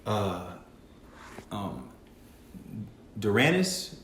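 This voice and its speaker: Podcast host, male, playful and chatty, lively pitch, echoey room with a faint hiss. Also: very slow. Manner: playful, talks to listeners as y'all. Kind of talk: casual podcast chat.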